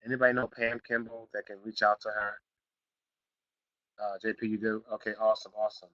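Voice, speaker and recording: Middle-aged man, very bright tone, slightly raspy from a sniffle, dull lo-fi call audio. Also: on the low side, little pitch movement, a little fast, no echo. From Philadelphia, Pennsylvania. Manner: repetitive, restarts phrases, builds to emphatic points. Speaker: online marketing class host. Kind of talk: live teleseminar class, casual coaching.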